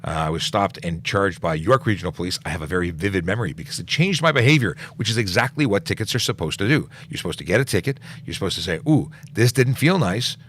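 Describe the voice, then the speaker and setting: Brief: middle-aged male cop, deep low voice, hosting a relaxed livestream, off-the-cuff with fillers and restarts. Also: fast, few pauses, slight background noise. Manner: reads out viewer questions, then answers with restarts and fillers. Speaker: police officer hosting a Q&A livestream. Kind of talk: casual livestream Q&A.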